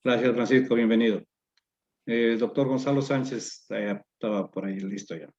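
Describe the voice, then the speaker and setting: A man with a dark, mellow voice leads the introductions for an online course and pauses often between halting phrases. The sound is dull and lo-fi, as over a video call. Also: mid-range voice. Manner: hesitant, many fillers and restarts. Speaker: course organizer and moderator.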